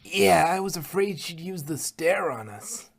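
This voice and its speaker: A man's voice: fairly high pitch, animated, a little slow, gravelly, voice pushed up, full character voice. A man voicing cheeky, defensive young pony fillies in a fan fiction reading. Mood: sheepish.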